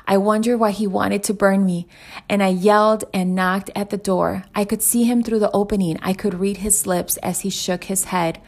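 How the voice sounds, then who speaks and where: Storybook teacup played by a woman: plaintive, dramatic, creaky, recounting its ordeals in a parable. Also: medium pitch, steady tone, normal speed, natural voice. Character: talking teacup recounting its painful making, plaintive. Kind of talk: inspirational parable read aloud.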